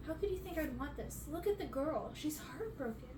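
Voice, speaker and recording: A woman's voice: high voice, expressive, moderate pace, pitched above the performer's own voice. A woman voicing an indignant, commanding young enchantress, the youngest of her sisters, with stern emphasis in a storybook reading. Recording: roomy echo, noticeable background noise.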